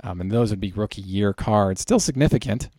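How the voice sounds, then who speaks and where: A man's voice: lowish voice, a little fast, dark tone, no room sound, studio quality. A man hosting a casual hobby podcast, talking in a conversational, explanatory way. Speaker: podcast host.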